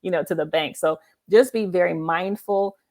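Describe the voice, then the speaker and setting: A woman's voice: lowish voice, normal speed, heavy background noise. A woman hosting a casual interview, speaking emphatically and affirmingly and repeating points for her listeners. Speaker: host of a live author interview show.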